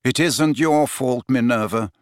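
Guy doing a serious grown wizard in an audiobook, firm n a bit harsh, lively pitch. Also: moderate pace, no echo, studio quality, close to an everyday voice. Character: grown man, grave, firm, incredulous wizard.

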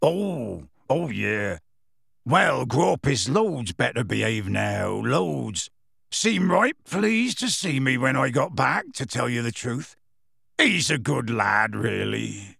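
A man voicing a gruff, kindly giant groundskeeper in a storybook reading, with halting, folksy, colloquial speech. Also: mid-range voice, sing-song, fairly bright tone, dry recording, studio quality, exaggerated voice. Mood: fond.

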